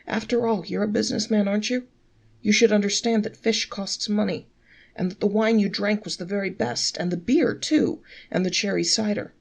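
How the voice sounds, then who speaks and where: A woman's voice: medium pitch, fairly even intonation, moderate pace, creaky, slightly rough. A woman reading a story, voicing a shrewd male innkeeper who talks in a wheedling, mock-innocent way. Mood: persuasive.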